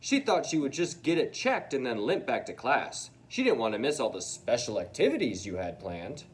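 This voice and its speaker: A man voicing a calm, kindly school principal in a children's storybook, measured, explanatory and reassuring. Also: medium pitch, a little hiss or hum, lightly acted. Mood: grave.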